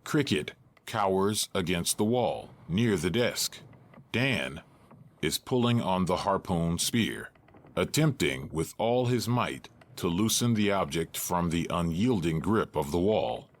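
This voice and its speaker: A man narrating a scripted audio drama in a measured, deliberate way. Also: low-pitched, expressive.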